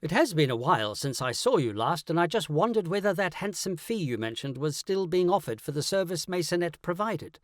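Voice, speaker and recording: A man's voice: fairly high pitch, big pitch swings, a little fast, few pauses, no room sound, studio quality. A man voicing a genial, money-minded male go-between in an audiobook, polite, chatty and persuasive. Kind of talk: audiobook character acting.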